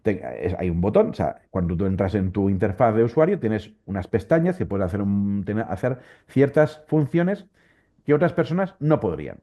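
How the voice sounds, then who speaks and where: Low-voiced Valencian man, dull recording. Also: big pitch swings, moderate pace. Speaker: interview guest, volunteer Wikipedia editor. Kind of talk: conversational explanation in an interview.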